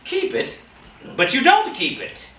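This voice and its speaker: A man voicing a cheerful, warm-hearted young nephew in a storybook reading, earnest and eager. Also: high voice, animated, moderate pace. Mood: incredulous. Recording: echoey, distant, noisy room recording.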